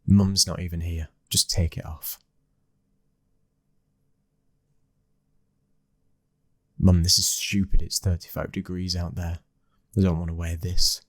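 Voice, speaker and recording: A man's voice: deep voice, no echo. A British man playing a cold, commanding young mafia boss's son in an ASMR roleplay, terse and domineering. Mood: irritated.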